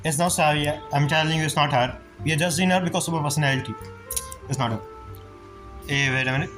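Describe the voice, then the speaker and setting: Insistent, quick-talking male gamer. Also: a little high. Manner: insistent, repetitive. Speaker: gamer commenting on a playthrough. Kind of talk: casual reaction commentary. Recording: game music behind the voice.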